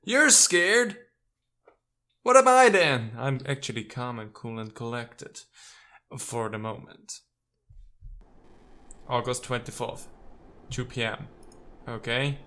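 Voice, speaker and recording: A man's voice: medium pitch, lively intonation, slow pace, pauses often, pushed and bright. A man giving casual, playful let's play commentary, slipping into theatrical readings of game text and talking to the characters.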